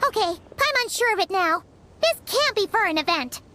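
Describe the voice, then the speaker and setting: A woman voicing a tiny, excitable childlike fairy companion in a video game, with a squeaky voice and exclamatory delivery. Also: moderate pace, a little hiss or hum, heavily acted. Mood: excited, insistent. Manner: exclamatory, refers to herself in third person. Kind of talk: video game character acting.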